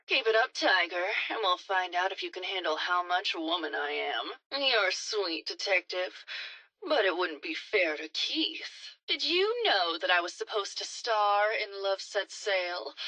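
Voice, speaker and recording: A woman playing a vain, flirtatious faded movie actress in a video game, with grandiose, coquettish, drawn-out phrasing. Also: medium pitch, sharp tone, dry recording, poor audio. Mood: flirtatious, coy. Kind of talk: theatrical game dialogue.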